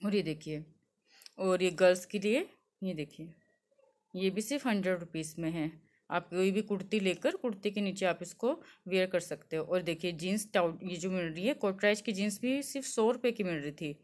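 A woman's voice: lowish voice, moderate pace. A young woman from Delhi filming a walking tour of a street market on her phone, showing clothes and prices to her viewers in a chatty way, mixing in English words and many fillers. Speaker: shopping vlogger. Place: crowded outdoor street market. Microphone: handheld phone, speaker off-camera.

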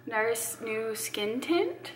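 A woman's voice: a little high, lively intonation, extremely slow. A woman in her twenties chatting casually and playfully with a friend near a handheld camera. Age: 20s. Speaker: vlogger's friend. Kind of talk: casual chat. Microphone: handheld camera nearby. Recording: noisy handheld camera audio. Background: loud ambient noise.

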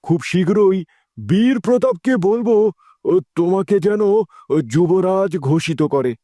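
A man's voice: high voice, animated, measured pace, mellow, dry recording, clean studio sound. A man playing an ailing elderly king in a fairy-tale cartoon, speaking in a formal, plaintive way. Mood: fond. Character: ailing elderly king, weary and guilt-troubled.

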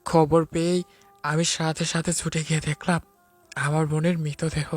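A man's voice: fairly high pitch, level tone, normal speed, no room sound, soft background music, lightly acted. A man playing a stern but protective police officer in a melodramatic audio story. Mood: grieving, vengeful. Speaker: narrator and lead actor.

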